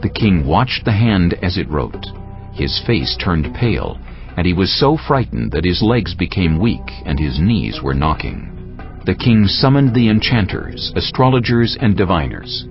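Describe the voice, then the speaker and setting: Deep, animated male scripture narrator. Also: measured pace. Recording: muffled, with faint music.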